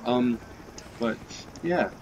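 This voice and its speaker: A young man talks through a game with a plugged nose and a slightly raspy voice from a cold. He rambles, swears casually and fills gaps with "like". Gameplay noise runs clearly behind him. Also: medium pitch, lively intonation, extremely slow. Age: young adult. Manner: rambling, swears often, many fillers. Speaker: gaming youtuber.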